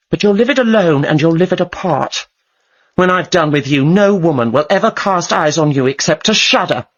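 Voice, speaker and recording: Actress playing resolute vengeful woman, husky voice, wide swings of pitch, cold menace, radio drama, muffled sound. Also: lightly acted. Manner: deliberate, menacing. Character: resolute, coldly menacing woman avenging a stolen child.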